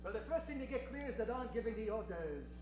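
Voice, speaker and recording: A man's voice: high voice, big pitch swings, fast. A man playing a mocking, bossy outlaw gang leader in an improvised old-time radio western. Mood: menacing. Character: taunting male western outlaw gang boss. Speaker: improv comedy player. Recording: very echoey stage, music behind.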